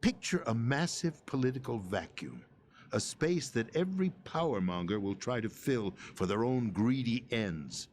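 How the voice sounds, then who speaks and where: An actor playing a disillusioned middle-aged president in a video game cutscene, speaking gravely and deliberately with theatrical weight. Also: male voice, mid-range voice, expressive, a little mellow, slight background noise, lightly acted. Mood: grave, urgent. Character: disillusioned middle-aged male president, bitter political pawn.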